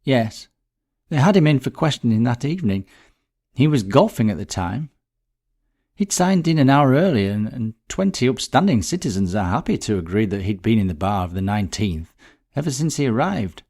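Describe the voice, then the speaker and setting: A man's voice: mid-range voice, big pitch swings, normal speed, darkish tone. A man voicing a distressed, bitter woman in a mystery story, talking in a dramatic, gossipy, emphatic way. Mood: earnest. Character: distressed, bitter middle-class woman recounting a friend's death.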